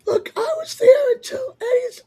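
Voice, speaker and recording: A woman who has lost most of her voice, chatting on a group call in a strained, cracking squeak. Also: normal speed, dark tone. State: losing her voice, hoarse squeak. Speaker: call-in panelist. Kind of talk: casual group chat.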